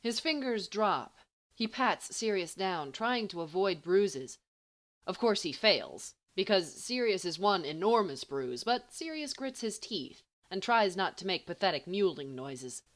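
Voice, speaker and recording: Woman reading fiction aloud, audiobook style, really lively intonation. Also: medium pitch, unhurried. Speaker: audiobook reader. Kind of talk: scripted story narration.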